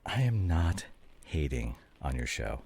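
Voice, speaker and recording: A man acting a devoted, remorseful husband in an intimate ASMR roleplay drama, soft and halting, with a husky voice. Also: very deep voice, big pitch swings, heavily acted. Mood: casual, teasing. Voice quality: husky, gravelly, often breathy. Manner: soft, halting, drops into whispers. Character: remorseful, devoted husband nursing his injured wife, teasing.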